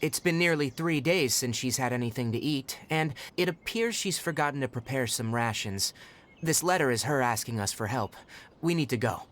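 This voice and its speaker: An actor playing a composed, knowledgeable young male forest ranger in a video game, speaking in an articulate, measured and formal way. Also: mid-range voice, fairly bright tone, a little hiss or hum, close to an everyday voice. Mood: earnest, calm. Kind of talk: scripted video game voice acting. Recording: background music under the voice. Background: game music.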